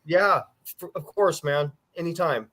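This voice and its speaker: A man's voice: a little high, unhurried, over a noisy crowd. A man chatting casually as a guest in a plain-spoken, slangy way. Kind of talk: casual chat.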